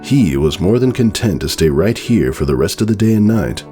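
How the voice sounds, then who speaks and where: A man's voice: sits low, animated, moderate pace, dark tone. A man narrating a story as a dramatic, scripted reading. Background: background music.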